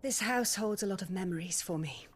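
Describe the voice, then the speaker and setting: A woman's voice: medium pitch, fairly quick, slight background noise, natural voice. An actress playing a refined, emotional middle-aged noblewoman in a video game cutscene. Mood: wistful. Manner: refined, emotional, formal speech. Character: warm-hearted widowed noblewoman, middle-aged.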